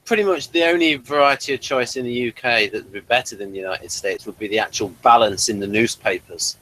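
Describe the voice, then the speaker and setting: A man co-hosting a casual unscripted chat, halting and repeating his phrases as he goes. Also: medium pitch, sharp tone, slight background noise, rough recording. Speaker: podcast co-host.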